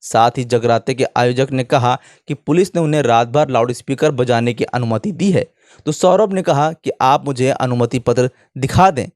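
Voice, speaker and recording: A man's voice: mid-range voice, normal speed, a little mellow, dry recording, clean studio sound. A man presenting opinionated online news commentary in an indignant manner, often posing rhetorical questions.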